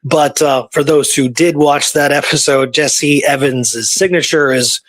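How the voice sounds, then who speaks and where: A man's voice: fairly high pitch, steady tone, measured pace, a bit bright, dry recording. A man co-hosting a casual history discussion, speaking emphatically and repeating points for stress. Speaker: co-host of a history talk show.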